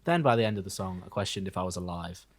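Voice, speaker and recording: A man co-hosting a music podcast, giving his opinions in casual chat with wry, deadpan humour. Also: sits low, fast.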